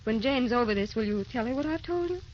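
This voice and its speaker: An actress playing a gentle, youthful woman with a secret sorrow, tender and wistful, in old-time radio drama style. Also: fairly high pitch, animated, quick pace, a little hiss or hum, close to an everyday voice. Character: youthful, gentle, secretly sorrowful wife posing as a distant cousin. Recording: dull old radio recording, music behind.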